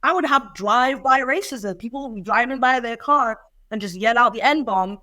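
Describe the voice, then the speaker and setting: A woman's voice: a little high, normal speed, pushed and bright, no room sound, clean studio sound. A young adult woman, answering interview questions in a reflective, thoughtful way. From Ireland. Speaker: poet and interview guest.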